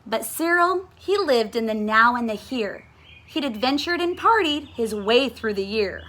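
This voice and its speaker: A woman reading a children's storybook aloud in an expressive, dramatic way with deliberate, emphatic phrasing. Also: a little high.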